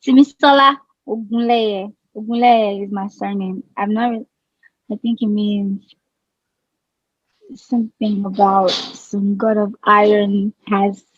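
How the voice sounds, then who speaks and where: A Nigerian woman in her thirties, a singer chatting casually in an interview, relaxed and quick to laugh, her sentences trailing off softly. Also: mid-range voice, no echo, muffled recording.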